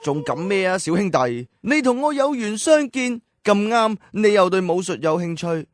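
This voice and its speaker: A woman's voice: mid-range voice, big pitch swings, normal speed, dry recording, natural voice. A woman playing a proud, determined young boy fighter in a children's radio drama, with a cocky yet friendly boyish manner. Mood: proud, welcoming.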